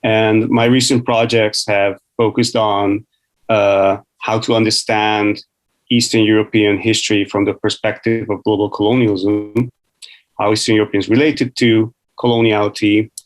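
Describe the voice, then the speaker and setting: A man's voice: fairly low pitch, low-quality recording. Man moderating a panel. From Hungary. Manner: thoughtful, searching for words. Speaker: academic researcher, panel moderator. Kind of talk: panel conversation.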